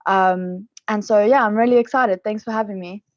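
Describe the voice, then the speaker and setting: An upbeat woman from South Africa chats as a podcast guest, filling her sentences with "you know" and "um." Her voice sits at an ordinary pitch, close and dry, with a slightly dull, lo-fi sound. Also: steady tone, moderate pace, no echo. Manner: chatty, upbeat, many fillers and restarts. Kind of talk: casual interview talk.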